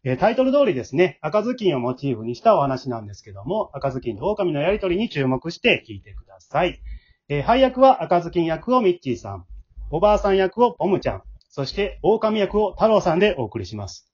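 A man's voice: fairly high pitch, big pitch swings, fast, darkish tone, husky, lo-fi sound. A man hosting a podcast in a chatty style. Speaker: host.

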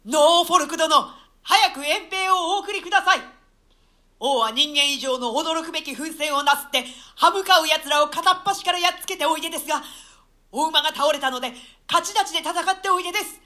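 A woman's voice: high-pitched, brisk, pushed and bright. A woman playing an anxious, loyal male retainer in a classical play, urgent and pleading. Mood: urgent, alarmed. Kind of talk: classical stage-play reading in archaic Japanese.

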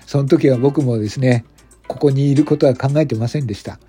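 A man's voice: mid-range voice, fairly quick, warm tone, slightly rough, natural voice. A man voicing a young, friendly, unpretentious company president in an amateur radio drama. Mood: nostalgic. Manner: chatty, polite, unpretentious. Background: background music.

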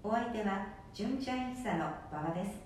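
A woman hosting a radio program, gently explaining and introducing the drama. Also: lively intonation, moderate pace. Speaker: radio show host. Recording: echoey room, distant mic, faint rumble.

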